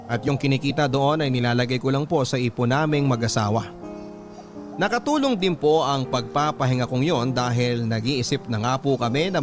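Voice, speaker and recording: A Filipino man narrates a letter as a radio host, in first person. His mid-range voice is polite and measured, told as dramatic storytelling. Music plays clearly underneath. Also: mid-range voice.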